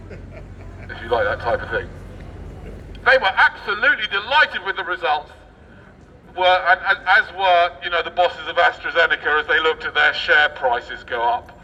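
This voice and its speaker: Guy doing sarcastic street speech, really forceful bright voice, far from mic, bit of street noise. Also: a little high, muffled recording. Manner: sarcastic, theatrical mock enthusiasm. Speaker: street performer reading a newspaper aloud. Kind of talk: satirical street oratory, reading news aloud with mocking asides. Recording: distant outdoor mic, faint street noise.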